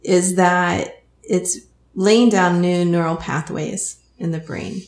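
Texan woman host, lowish voice, chatty explainer, echoey room. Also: steady tone, takes it slowly, a little mellow. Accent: Texas. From Texas. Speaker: hypnotist and podcast host. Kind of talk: explanatory interview. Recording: roomy echo.

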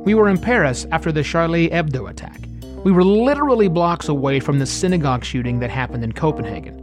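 A man's voice: a little high, animated, fast. A man co-hosting a travel podcast, narrating with dry, wry humor. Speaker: podcast co-host. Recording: narration over background music. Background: theme music.

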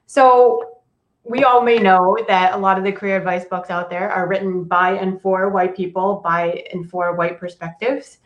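A woman's voice: mid-range voice, steady tone, moderate pace, dull audio. A woman whose first language is Cantonese, a career coach and author, talking casually in a podcast interview with many "like"s and "um"s. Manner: casual, frequent "like" and "um", laughs often. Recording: echoey room.

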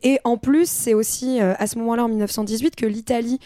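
A woman explains history in a casual group discussion. Her voice is soft-edged and mellow, recorded dry with little room sound. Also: fairly high pitch, fairly even intonation, quick pace, dry recording.

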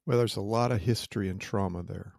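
A man's voice: on the low side, a little fast, creaky, a little mellow, no echo, studio quality. A man co-hosting a podcast, telling stories in a reflective way with small restarts. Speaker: podcast co-host and interviewer. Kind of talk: casual conversation.

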